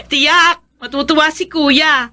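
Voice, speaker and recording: Giggly student daughter in radio drama; bright, very high, unhurried. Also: female voice, full character voice. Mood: proud, giggly. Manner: giggly, excited. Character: bubbly young student daughter, proud and cheerful. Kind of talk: Filipino radio drama acting.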